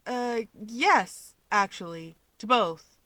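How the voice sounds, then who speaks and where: A woman voicing an excitable, proud and huffy young winged male hero in a fan fiction audiobook, emphatic and argumentative. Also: medium pitch, takes it slowly, no room sound. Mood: awkward, earnest.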